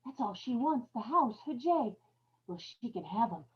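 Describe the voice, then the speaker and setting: A woman's voice: on the high side, lively intonation, moderate pace, breathy edge. A woman playing a terrified, guilt-ridden wife losing her grip, in old-time radio horror style, dramatic and obsessively repetitive. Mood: desperate, hurried. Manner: dramatic, haunted, repeating words obsessively. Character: terrified, unraveling young farm wife, guilt-ridden and paranoid. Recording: dull lo-fi live recording with background noise.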